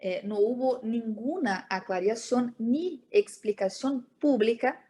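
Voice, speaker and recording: Slow-paced woman. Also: fairly high pitch, warm tone. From Brazil. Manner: slow, hesitant, many fillers. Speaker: lecturer. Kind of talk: academic presentation. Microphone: video call.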